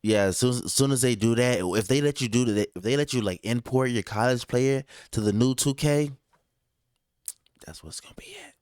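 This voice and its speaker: A man's voice: mid-range voice, normal speed, a little mellow, clean tone, dry recording, clean studio sound. A Texan man co-hosting a podcast, laid-back and slangy in casual sports chat. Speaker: podcast co-host.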